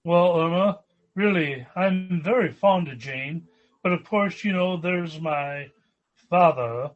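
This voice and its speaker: Well-bred young businessman, played by a male actor: unhurried, genteel, stuffy, radio comedy, slightly muffled sound. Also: fairly high pitch. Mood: awkward. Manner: genteel, hesitant. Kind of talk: 1940s radio comedy acting.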